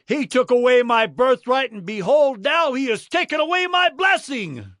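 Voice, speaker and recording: A man's voice: very high voice, big pitch swings, normal speed, bright, forceful tone, dry recording, clean studio sound, pitched above the performer's own voice, full character voice. A man voicing a wronged, bitter adult son with emotional pleading in a dramatised scripture reading.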